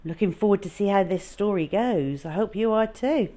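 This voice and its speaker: A woman reading a children's story aloud, moving between informal chat and expressive narration as a storytime host. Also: mid-range voice, normal speed.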